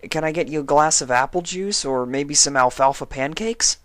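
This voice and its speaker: A man voicing an eager, cheerful young male baby dragon in a storybook reading, with an excitable manner. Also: on the high side, normal speed, no echo, voice pushed up, lightly acted. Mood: helpful, worried. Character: eager eighteen-year-old baby dragon, helpful and cheerful.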